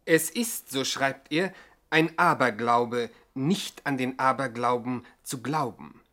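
An actor as a pedantic co-narrator, adding details in deadpan, mock-serious style in a 1960s radio play. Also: male voice, medium pitch, takes it slowly. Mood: dryly pedantic. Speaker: second narrator.

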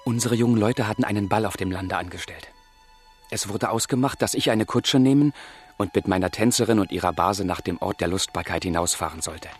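A man acts a romantic young letter-writer in a literary radio play. His voice sits fairly low and he tells his memories lyrically and with feeling, music playing behind him. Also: brisk, lightly acted. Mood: fond reminiscing. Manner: lyrical, expressive.

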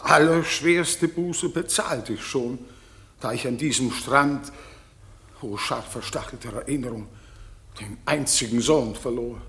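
Slow-spoken, fervent sorrowful king. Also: mid-range voice, a bit bright. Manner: declamatory, fervent. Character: sorrowful, penitent king and grieving father, deeply emotional. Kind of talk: German classic radio play, stage verse delivery.

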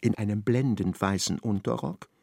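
An actor narrating a literary radio play in a precise, measured way. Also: male voice, lowish voice, creaky, dark tone, dry recording, studio quality. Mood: measured narration.

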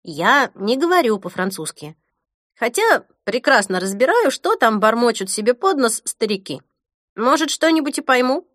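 A woman's voice: on the high side, very animated, moderate pace, fairly bright tone, no room sound, clean studio sound, pitched above the performer's own voice. A woman reading as a wry, teasing young woman. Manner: teasing, blunt. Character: wry joking young woman, care-home worker.

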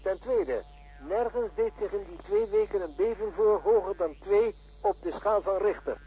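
Snooty pedantic professor in radio play, highish husky voice, talks down to people, muffled old recording. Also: male voice, normal speed. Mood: smug, lecturing. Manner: pedantic, formal, patronising. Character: smooth-tongued, condescending, evasive male professor of seismology. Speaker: actor in a radio drama.

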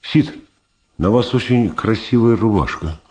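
An actor playing a stubborn, quietly bitter city prosecutor in a 1970s Soviet radio drama, speaking tersely and drily as he probes. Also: lowish voice, unhurried, slight background noise, lightly acted. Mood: guarded, probing. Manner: terse, dry, probing questions.

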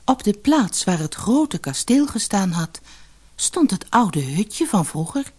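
A woman's voice: mid-range voice, big pitch swings, slow pace, a little mellow, breathy edge. A woman reading a scripted fairy tale as an audiobook narrator.

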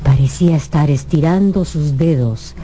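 A woman's voice: deep voice, moderate pace, mellow, faint music behind. A woman narrating a scripted radio drama with a dramatic storytelling delivery.

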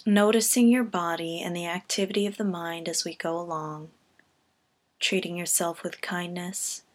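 A woman reading a scripted guided body scan meditation in a calm, gentle, measured way, softening at the ends of phrases. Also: on the low side.

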